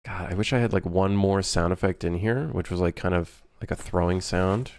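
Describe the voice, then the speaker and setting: A man from Switzerland, a native French speaker, chatting casually on a livestream as he works on game development, thinking aloud in short, halting remarks. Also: low-pitched, a little mellow, dry recording, clean studio sound. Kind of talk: casual livestream chat while working.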